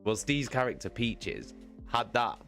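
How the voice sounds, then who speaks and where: A man casually telling a rambling story, excitable and sweary, hammering points home by repeating them. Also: medium pitch, unhurried, soft background music. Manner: sweary, excitable, emphatic repetition, giggly. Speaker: live streamer telling a story.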